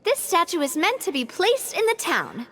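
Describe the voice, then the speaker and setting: A woman's voice: very high voice, normal speed, heavily acted. A woman playing a confident, cheerful and gracious young lady boss in a video game, speaking in an encouraging way. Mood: thoughtful. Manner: bright, encouraging, animated.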